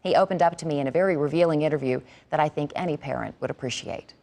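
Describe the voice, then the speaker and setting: A woman's voice: low voice, fast, creaky, warm tone, dry recording. A middle-aged woman, a TV news anchor, reading a scripted feature report.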